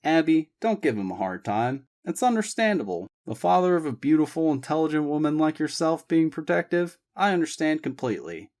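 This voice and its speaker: A man voicing a confident ex-military man who speaks in an even, steady way. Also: on the high side, a little fast, few pauses, voice pushed up.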